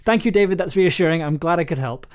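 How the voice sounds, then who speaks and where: A streamer talks casually through an audio edit in a medium-pitched voice. The delivery is halting and circles back over words, with some keyboard typing behind it. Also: animated, a little mellow, clear, resonant tone. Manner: halting, repeats words and phrases. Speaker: streamer, audio drama producer and editor. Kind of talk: casual livestream commentary while editing.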